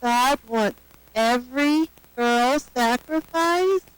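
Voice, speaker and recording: A woman's voice: high voice, takes it slowly, clean tone, no room sound, poor audio. A woman voicing a timid, curious little girl in a children's storybook tale.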